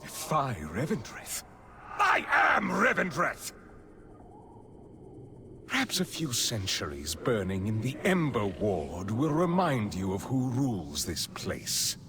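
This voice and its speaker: An actor playing an imperious, gloating vampire lord with pompous, theatrical menace in a video game cinematic. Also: male voice, medium pitch, big pitch swings, slow pace, a crowd in the background. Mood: indignant, imperious. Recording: loud music behind the voice.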